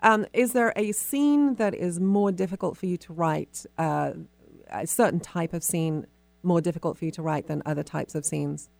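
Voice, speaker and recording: A woman interviews in an informal, conversational radio style. Her voice is on the low side with a noticeable gravelly creak. She sounds close and dry, and shapes her questions in halting phrases. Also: a little mellow, no echo.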